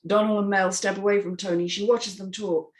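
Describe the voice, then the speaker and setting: A woman's voice: mid-range voice, little pitch movement, fairly quick, reverberant. A woman narrator reading screenplay stage directions in a scripted style.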